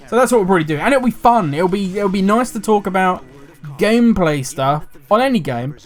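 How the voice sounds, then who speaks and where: Animated man hosting a gaming channel. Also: a little high. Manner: animated, self-mocking, jumps between loud asides and quiet mutters. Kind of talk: casual gaming commentary, reading an article aloud.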